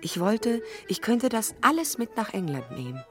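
A woman's voice: medium pitch, sing-song, moderate pace, natural voice. Actress as a tender mother over music. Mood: fond, longing. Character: tender, loving German mother addressing her young daughter. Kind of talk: German radio drama acting.

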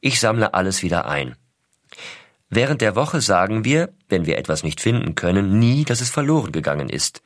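Astronaut dad, low voice, dry close recording, intimate scripted letters to his small son, measured, simple explanations. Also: sing-song, dry recording. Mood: wry, matter-of-fact. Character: astronaut father writing tender letters to his young son. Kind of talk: scripted narration, letters read aloud.

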